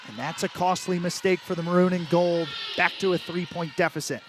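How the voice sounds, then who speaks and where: A man's voice: high voice, fairly even intonation, a little fast, noisy background. A man doing live sports play-by-play as a commentator, over the sound of the venue.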